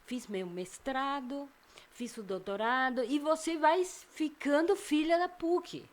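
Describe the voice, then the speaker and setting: A woman's voice: sits high, a little slow. An older woman from Argentina with an Argentine Spanish accent, a psychologist chatting as an interview guest, talking thoughtfully and restarting phrases as she searches for words. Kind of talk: casual interview chat.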